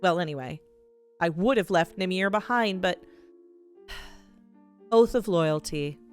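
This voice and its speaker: A woman's voice: on the low side, slow, clean tone, faint music behind. A woman voicing a vain, dismissive, skinless immortal villain who recounts memories in a visual novel game. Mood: grumbling. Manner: dismissive, grumbling. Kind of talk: reading visual novel dialogue in character.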